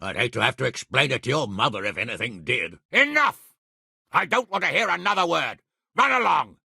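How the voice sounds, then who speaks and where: Gruff, forceful medieval lord. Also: male voice, medium pitch, expressive, a little fast, dry recording, studio quality, full character voice. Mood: exasperated. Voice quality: raspy, gravelly. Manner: blunt, swearing, authoritative. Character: gruff, hot-tempered medieval lord, commanding and blunt. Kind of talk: video game character acting.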